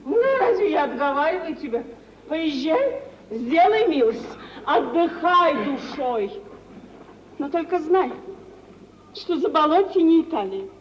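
A woman's voice: high-pitched, sing-song, a little slow, pauses often. An actress playing a shrewd, worldly middle-aged mother, theatrical and ironic, in an old Russian radio drama. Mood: ironic warning. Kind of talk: mid-century Russian stage drama acting for radio. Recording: dull, hissy 1950s radio recording.

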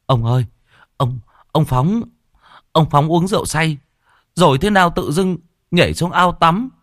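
A man's voice: mid-range voice, sing-song, brisk, dry recording, studio quality, pitched above the performer's own voice. A man voicing a panicked young village man who is out of breath, stammering as he reports news.

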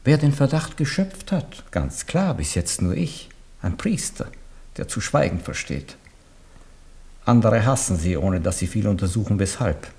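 A stern old papal legate. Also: male voice, on the low side, sing-song, measured pace, vocal fry, a little mellow, full character voice. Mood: grave. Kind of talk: 1970s radio play acting.

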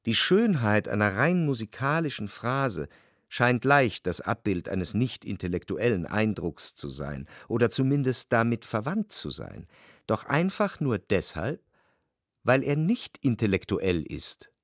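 An actor playing an introspective, doubting gentleman who narrates his love in a literary radio drama. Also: on the low side, big pitch swings, a little slow, no echo, studio quality. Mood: brooding. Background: music.

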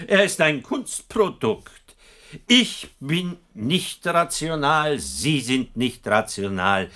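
An actor playing a self-assured, probing psychoanalyst in a German radio drama, eloquent and effusive, with rhetorical emphasis. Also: male voice, fairly high pitch, very animated, slow, bright, forceful tone, full character voice. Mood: provocative.

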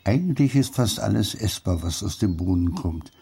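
A man's voice: fairly low pitch, animated, normal speed, exaggerated voice. An actor playing a wise, earthy old grandfather in a radio play, musing and instructing. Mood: philosophical. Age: older. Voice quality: gravelly, mellow.

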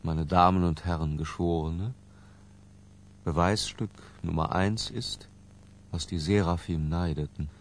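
An ornate, self-mocking murderer giving his confession in a literary radio play. The voice is very deep and creaky, and he moves very slowly through florid phrases with long pauses. A faint hum sits behind him. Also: steady tone. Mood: ironic, ornate.

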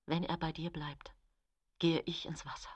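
A woman's voice: low-pitched, level tone, normal speed, slightly rough, no room sound, lo-fi sound. An actress playing an embittered, pleading woman in a grim historical radio drama. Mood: desperate.

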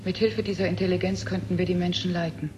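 An actress playing a young artificial human in a 1970s radio drama, speaking in a detached, terse, oracular way. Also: female voice, fairly low pitch, flat delivery, fast, music in the background, close to an everyday voice. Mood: resolved. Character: cold, logical young female artificial human, proud, inwardly torn. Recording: noisy old radio drama recording.

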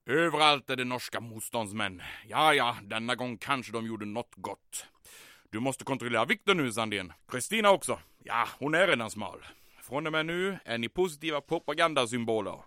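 A pompous, sharp-voiced commandant. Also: male voice, medium pitch, expressive, no room sound, clean studio sound. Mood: pompous, bossy. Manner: pompous, theatrical, swinging between orders and self-pity. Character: vain, bombastic German Nazi commandant, easily flustered. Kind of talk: old-time radio comedy drama acting.

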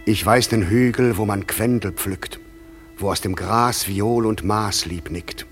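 An actor playing the proud, commanding fairy king in a classic verse radio play, with a measured, stately delivery. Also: lowish voice, faint music behind, lightly acted.

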